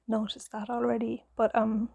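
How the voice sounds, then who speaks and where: An Irish woman in her thirties with a husky voice, talking gently and hesitantly in a soft ASMR chat at home, into a clip-on mic. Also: on the high side, level tone, normal speed, a little hiss or hum. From Ireland. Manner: gentle, hesitant, nervous, with many fillers. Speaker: knitting ASMR creator, mother. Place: home, in pajamas. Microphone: clip-on mic on pajamas.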